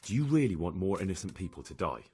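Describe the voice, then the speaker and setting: An actor playing a direct, questioning young man with a husky voice in a medieval video game. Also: deep voice, big pitch swings, fast talker, warm tone, slight background noise. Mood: probing. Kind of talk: dramatic video game dialogue.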